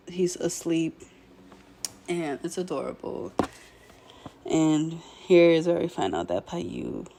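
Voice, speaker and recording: A young woman, hoarse and a bit nasal while recovering from illness, casually recapping a show episode in a laid-back way, clearing her throat now and then. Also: medium pitch, darkish tone. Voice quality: slightly nasal. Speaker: show commentator.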